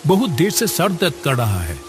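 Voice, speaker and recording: A man's voice: a little high, huge pitch swings, moderate pace, slight background noise. A man voicing a kindly, generous older village headmaster in a cartoon story, chatty and avuncular. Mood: concerned, friendly. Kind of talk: Hindi cartoon story acting.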